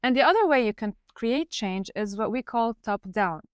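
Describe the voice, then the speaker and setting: A woman in her thirties, a researcher from Lebanon, explaining her career in a measured way on a podcast. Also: fairly high pitch, lively intonation, clear, resonant tone, no room sound, studio quality. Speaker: guest researcher. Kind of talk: podcast interview.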